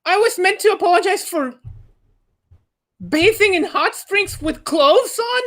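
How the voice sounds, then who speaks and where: Food-obsessed, childlike video-game hero played by a woman; high voice, melodramatic stammer, stubborn temper. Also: animated, a little slow, a bit bright, exaggerated voice. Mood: indignant.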